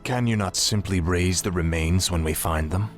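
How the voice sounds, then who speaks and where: An actor playing a cold, remorseless young prince turned death knight in a fantasy game, grim and imperious. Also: male voice, low voice, moderate pace, close to an everyday voice. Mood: cold, impatient. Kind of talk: fantasy video game acting. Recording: music under the voice.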